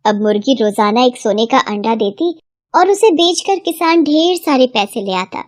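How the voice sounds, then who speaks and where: A woman's voice: fairly high pitch, lively intonation, normal speed, dry recording, studio quality. A woman narrator reading a scripted moral tale for children in a storytelling style.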